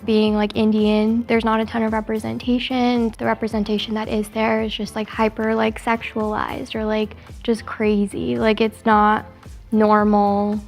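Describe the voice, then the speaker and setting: A woman's voice: fairly high pitch, monotone, moderate pace, gravelly. A woman in a candid, casual panel discussion, speaking hesitantly and peppering it with "like". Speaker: panel participant. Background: music.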